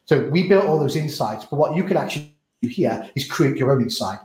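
Fast-talking man demoing software. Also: mid-range voice, expressive, reverberant, a little hiss or hum. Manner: quick, peppered with "you know". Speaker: software vendor giving a product demo. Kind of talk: screen-share product walkthrough.

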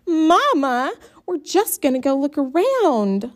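A woman voicing a whiny, shrill young sister who complains loudly. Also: expressive, very slow. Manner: whining, high and loud.

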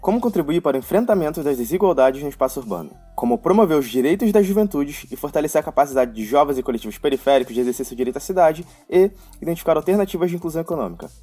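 Fast-talking, mellow-voiced male co-host. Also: a little high, slightly rough, soft background music. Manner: energetic, slangy hype. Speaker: podcast co-host. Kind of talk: scripted podcast introduction.

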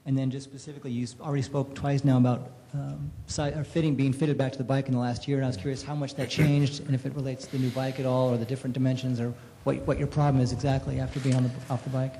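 A man's voice: mid-range voice, flat delivery, quick pace, hardly any pauses, mellow, in a large hall, a little hiss or hum. A man working as a reporter, asking a long, rambling question at a press conference. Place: large room at a press conference.

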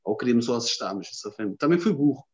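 Homem lisboeta calão, som abafado. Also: male voice, medium pitch, animated, fast, a little mellow. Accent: Lisbon Portuguese. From Lisbon, Portugal. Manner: slangy, swears a lot, rambling. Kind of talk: casual chat. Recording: dull lo-fi sound.

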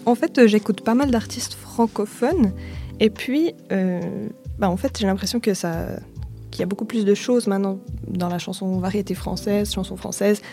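Young woman, singer-songwriter guest, halting and soft-spoken, warm dark tone. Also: medium pitch, faint music behind. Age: young adult. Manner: hesitant, soft-spoken, many fillers and restarts. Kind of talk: casual interview answers.

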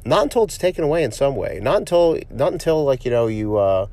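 A man's voice: medium pitch, sing-song, fairly quick, dark tone. A physician hosting his own podcast while sick with a cold, sounding nasal and sniffly, talking in a reflective, motivational way with frequent fillers and restarts. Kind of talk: casual solo podcast monologue.

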